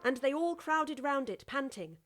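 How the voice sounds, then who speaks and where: A woman tells a story as an audiobook narrator, in a slightly high voice. Soft music plays faintly behind her reading. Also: animated, moderate pace.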